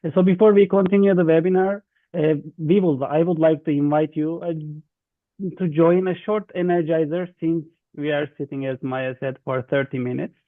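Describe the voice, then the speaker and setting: A man with a Turkish accent explains instructions to an online group, halting and repeating himself in non-native English. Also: fairly high pitch, fairly even intonation, no echo. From Turkey. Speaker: youth webinar facilitator. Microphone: video call. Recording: thin, dry phone-like online call.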